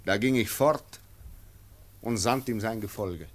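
A man's voice: lowish voice, measured pace. An actor playing a bold, steadfast mountain hunter and father, declaiming verse with firm resolve in a classic radio drama. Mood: storytelling.

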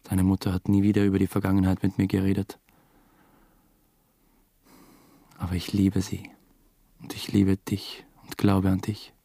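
A man's voice: deep voice, monotone, a little fast, dark tone, slightly rough, no echo. A young man acting as the earnest, reflective first-person narrator of a radio drama, a new father. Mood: tender, reflective. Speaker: narrator and lead character.

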